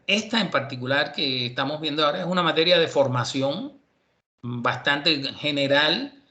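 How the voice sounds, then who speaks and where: A man from Cuba teaching an online university class, relaxed and chatty with many fillers. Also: medium pitch. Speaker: university professor.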